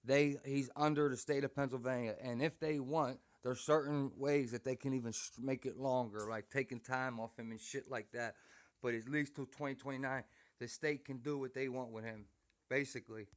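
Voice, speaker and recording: A man's voice: medium pitch, steady tone, moderate pace, clean tone. An American man hosting a conversation in casual slang, venting emphatically in a rambling way. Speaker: host and interviewer, former prisoner. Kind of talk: casual, slangy chat with frequent "bro" and "man".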